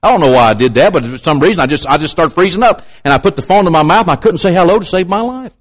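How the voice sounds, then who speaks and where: A man's voice: lively intonation, rapid-fire. A man preaching a sermon with emphatic, repetitive pulpit delivery and pointed asides to the congregation. He has a slight stutter and sometimes catches on a word. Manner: slight stutter, clamps up on words; acts out doubters in a raised pitch.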